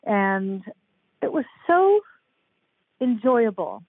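A woman's voice: fairly high pitch, sing-song, very slow, breathy edge, dry recording. An older woman, a podcast guest and author, chatting casually and rambling a bit with fillers. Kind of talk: casual interview chat. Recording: tinny phone-like line, dry.

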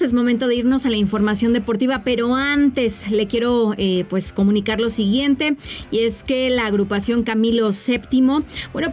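A woman's voice: fairly high pitch, a little mellow. Composed Mexican news anchor woman. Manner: composed, reads statements aloud. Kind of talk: news presenting.

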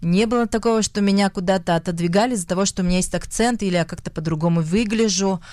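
A young woman from Russia with a Russian accent, an actress giving an interview, often pausing and searching for words. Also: medium pitch, a little mellow, no echo. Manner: hesitant, searching for words, frequent fillers. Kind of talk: conversational interview.

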